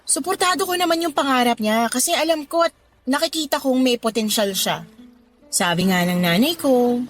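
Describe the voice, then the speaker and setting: A woman's voice: a little high, natural voice. An actress playing a discontented, flirty mother of thirty who confides in a Filipino accent, in a conversational radio drama style. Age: 30s. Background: background music.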